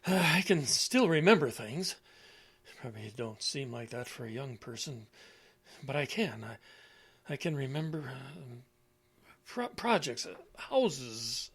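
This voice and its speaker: A man's voice: medium pitch, big pitch swings, a bit bright. An actor playing an elderly widower with failing memory in an audio drama, meek and muddled, stammering as he hunts for words. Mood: muddled, earnest. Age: older. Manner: halting, stammering, searching for words.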